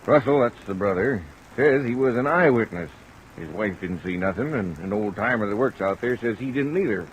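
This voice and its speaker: A man's voice: lowish voice, lively intonation, normal speed, a little hiss or hum, lightly acted, rough recording. An actor playing a folksy, easygoing small-town Western lawman, rustic and plain-spoken, in an old-time radio drama. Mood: thoughtful, affable. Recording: old radio recording under light rain.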